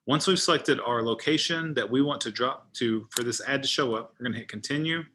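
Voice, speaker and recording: A man's voice: mid-range voice, fairly quick, bright, forceful tone, slight background noise. A man giving an informal tutorial as a trainer, thinking aloud as he demonstrates each step on screen. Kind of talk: informal screen-share walkthrough.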